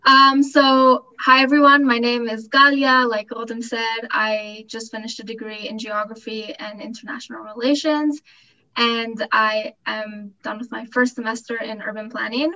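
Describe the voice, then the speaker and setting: A woman's voice: fairly high pitch, flat delivery, normal speed, pushed and bright, dull audio. A woman in her twenties from Costa Rica, a graduate student giving an informal, enthusiastic talk at an information session. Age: 20s. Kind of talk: informal testimonial talk at an online info session.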